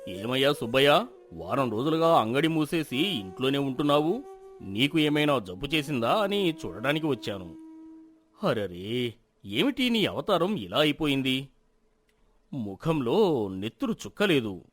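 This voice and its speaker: A man plays a learned village elder from a moral storybook, with lively, swooping intonation over clearly audible music. He is concerned and gently chiding, handing out counsel in measured phrases. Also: mid-range voice. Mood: concerned, surprised. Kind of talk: acted moral-story dialogue.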